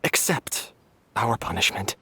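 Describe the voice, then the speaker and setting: An actor playing a remorseful, resigned young man in a video game, with a husky voice and a solemn, halting delivery. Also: on the low side, big pitch swings, bright, forceful tone, slight background noise, heavily acted. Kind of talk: dramatic video game voice acting.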